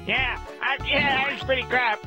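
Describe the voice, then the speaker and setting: A man's voice: very high voice, steady tone, moderate pace, music in the background. A man voicing a sneering, dim paintbrush sidekick in a video game, goading and repeating himself. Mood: mocking. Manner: repetitive, goading, echoing his partner.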